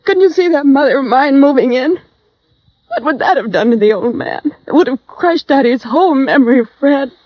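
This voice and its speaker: A woman's voice: high-pitched, lively intonation, a little fast, dry recording. An actress playing a guarded, world-weary widow, down on her luck and defiant, in a 1950s radio noir drama. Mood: self-loathing, anguished. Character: bitter, tough, down-and-out young widow. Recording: dull, dry vintage radio recording.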